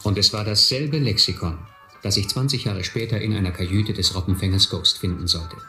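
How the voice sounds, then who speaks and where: A man's voice: on the low side, brisk, bright, forceful tone. An actor playing a reflective, wry man who narrates memories of his boyhood in a radio play. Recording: roomy, music behind.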